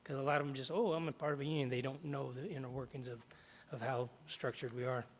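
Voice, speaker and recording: A middle-aged man, slightly hoarse after jaw surgery, reading prepared remarks at a podium in a large meeting hall and stopping often to clear his throat. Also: mid-range voice, fairly even intonation, fast, a little hiss or hum. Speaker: union chapter president. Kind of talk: public comment at a board meeting. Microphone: podium microphone.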